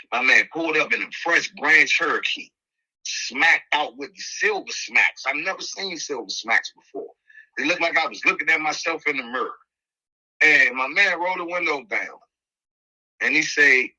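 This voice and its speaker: Forceful, bright-voiced D.C. man telling stories. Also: a little high, slightly rough, dull audio. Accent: Washington, D.C. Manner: animated, slangy, repeats phrases for emphasis. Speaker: podcast host. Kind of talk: casual first-person storytelling.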